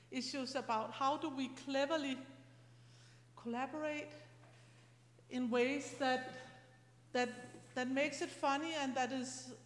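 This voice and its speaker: A middle-aged woman gives an informal academic talk as a university lecturer, speaking in a halting, conversational, explanatory way into a handheld microphone. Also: high-pitched. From Scandinavia. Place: large lecture room, indoors. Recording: reverberant lecture hall PA.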